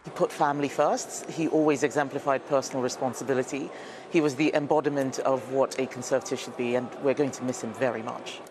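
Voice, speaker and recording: A politician with a mid-pitched voice gives a tribute to the press. It is recorded outdoors with clear traffic-like noise behind. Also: steady tone, fast.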